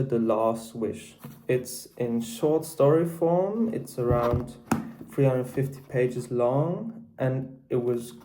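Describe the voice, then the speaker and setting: Sixteen-year-old Swiss boy, German first language, soft mellow tone, stumbling and restarting as he reviews books. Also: mid-range voice, poor audio. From Switzerland. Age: teens, 16. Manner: hesitant, with many restarts and repeated words. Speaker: book vlogger. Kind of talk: casual unscripted book review.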